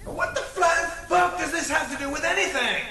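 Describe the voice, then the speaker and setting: A man playing a suspicious, foul-mouthed backwoods bandit leader in an audio drama, gruff and combative. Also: extremely high pitch, normal speed, pushed and bright, echoey room, heavily acted. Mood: exasperated.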